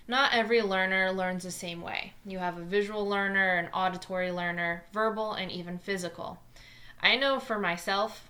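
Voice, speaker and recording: A woman in her twenties with a New Jersey accent, a math teacher talking fast and earnestly through a scripted lesson video. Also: medium pitch, fairly bright tone. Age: 20s.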